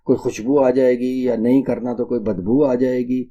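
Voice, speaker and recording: Dark-voiced man giving an Islamic lecture. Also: mid-range voice, flat delivery, highs cut off. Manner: didactic, emphatic, repeats points for stress. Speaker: religious lecturer. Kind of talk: Islamic sermon lecture addressed to listeners.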